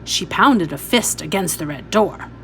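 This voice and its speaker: A woman's voice: fairly low pitch, lively intonation, slight background noise, rough recording. A woman reading a story aloud expressively, as a teacher would for pupils. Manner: instructive, pausing to point out details.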